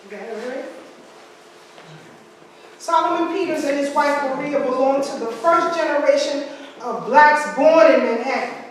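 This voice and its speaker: A woman's voice: sits high, normal speed, pauses often, a little hiss or hum. A woman giving a history lecture from slides, breaking off with asides and rhetorical questions to her audience. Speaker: history speaker. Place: large room with hard walls. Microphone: far camera. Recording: echoey hall from far off.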